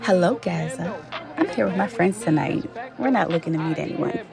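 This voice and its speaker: A woman playing a guarded, self-assured older love interest in an audio drama, speaking in a cool, deliberate, teasing way. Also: fairly low pitch, animated, vocal fry, over music. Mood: aloof, teasing.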